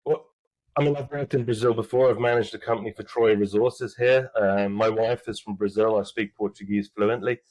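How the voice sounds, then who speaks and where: Low-voiced man, halting interview answers. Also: fairly even intonation, darkish tone, no room sound, rough recording. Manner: halting, many restarts and fillers. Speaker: mining company executive, guest.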